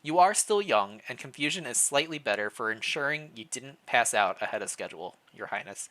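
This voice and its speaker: A man's voice: mid-range voice, poor audio. Man reading as composed, formal royal chamberlain woman. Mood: calm, formal. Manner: measured, formal, rehearsed calm. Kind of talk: storybook character reading.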